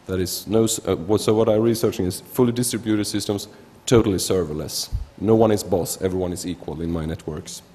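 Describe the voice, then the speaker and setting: A man in his thirties from Sweden, with a Swedish accent, giving an informal technical conference talk in a halting way, often restarting words. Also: low voice, warm tone, husky. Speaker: researcher presenting at a conference. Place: large indoor conference hall, at a lectern. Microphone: gooseneck lectern microphone. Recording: reverberant lecture hall.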